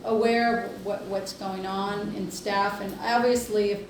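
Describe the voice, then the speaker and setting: A woman giving an informal report at a board meeting, speaking haltingly with many pauses. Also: medium pitch, flat delivery. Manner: hesitant, halting delivery with long pauses. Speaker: healthcare district executive reporting to board. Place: indoor public meeting room. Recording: echoey room, distant mic, faint noise.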